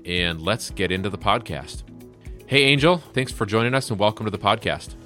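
Deep-voiced, upbeat male podcast host. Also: animated, fairly quick, a bit bright, soft background music. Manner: upbeat, enthusiastic praise. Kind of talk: casual interview hosting.

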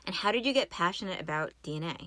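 A woman's voice: lowish voice, lively intonation, very fast, fairly bright tone, dry recording. A woman speaking as a podcast host, introducing and interviewing a guest in a prepared style.

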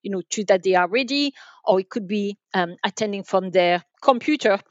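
A woman giving explanatory interview answers as a podcast guest, halting and repeating words as she goes. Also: medium pitch, dry recording, muffled recording. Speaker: podcast guest, enterprise sales manager.

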